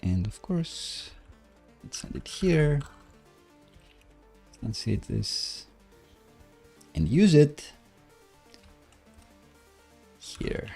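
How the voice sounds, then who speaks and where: A man live-coding on a stream, casually thinking aloud in a hesitant, halting, self-musing way. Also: fairly high pitch, sing-song, gravelly, slightly rough. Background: soft background music, computer keyboard typing.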